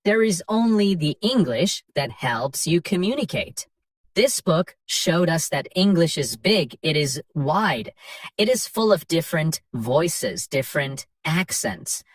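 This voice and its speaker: Slow, lively woman teaching English. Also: low-pitched, no echo, recorded from a distance. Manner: simple, clearly spaced sentences. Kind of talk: slow scripted lesson narration for learners.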